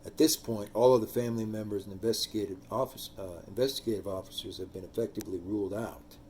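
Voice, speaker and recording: A man's voice: lowish voice, darkish tone, slight background noise. A man hosting a true crime channel, talking through a case and reading quotes aloud, his voice trailing off at line ends.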